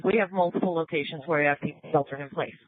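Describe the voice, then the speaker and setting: A police incident commander giving measured orders and updates over a radio. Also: mid-range voice, dry recording. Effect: police radio transmission. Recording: tinny narrow-band police scanner audio.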